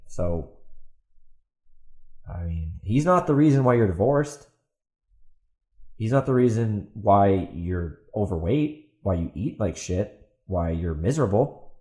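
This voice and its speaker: A man's voice: on the low side, darkish tone. Exasperated man ranting, echoey room. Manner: combative, exasperated, repeats himself, blunt with swearing. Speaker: podcast host. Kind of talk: ranting solo commentary, partly reading out a post. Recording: roomy, echoey sound.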